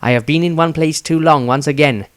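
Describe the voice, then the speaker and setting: A man's voice: a little high, brisk, no echo, voice pushed up, natural voice. Man voicing a remorseful, earnest scoundrel brother. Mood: urgent pleading.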